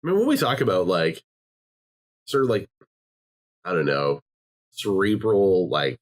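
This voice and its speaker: Low-voiced rambling man chatting. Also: very animated, unhurried. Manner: rambling, heavy on fillers and restarts. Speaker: podcast host. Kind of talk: casual chat.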